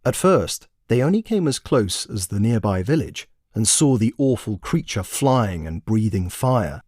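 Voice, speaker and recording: A man's voice: lowish voice, big pitch swings, measured pace, darkish tone, dry recording, studio quality. A man narrating a story expressively, in the manner of a storybook reading. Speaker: author and narrator.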